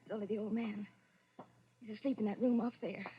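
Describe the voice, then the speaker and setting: A woman's voice: mid-range voice, steady tone, fairly quick, slight background noise, lightly acted. An actress playing a frightened, devoted young woman, anxious and pleading with her fugitive lover in 1940s radio melodrama style. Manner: anxious, pleading, breathlessly emotional. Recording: dull lo-fi vintage radio recording.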